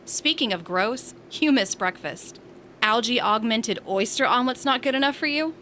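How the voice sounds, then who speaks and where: A woman acts out a feisty space station resident in a science fiction audio drama. Her voice is bright and punchy, and she teases with sassy, bantering delivery. Faint background ambience runs behind her. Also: fairly high pitch, lively intonation, moderate pace, slight background noise. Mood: playfully blunt.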